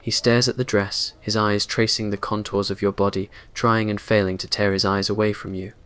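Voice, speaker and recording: A man's voice: lowish voice, little pitch movement, moderate pace, fairly bright tone, clean tone. A man reading scripted romantic roleplay narration in an ASMR story style.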